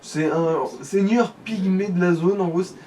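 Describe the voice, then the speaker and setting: A man's voice: high-pitched, unhurried, warm tone. A man giving casual game commentary as a co-host, explaining things informally with hesitant phrasing. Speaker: let's play co-commentator, guide. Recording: distant mic, faint background noise.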